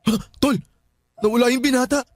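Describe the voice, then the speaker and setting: A man's voice: very high-pitched, fairly even intonation, fairly quick. An actor playing a jumpy, reluctant young man who is easily spooked, whiny and exclamatory, in a Filipino radio drama. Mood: startled, curious.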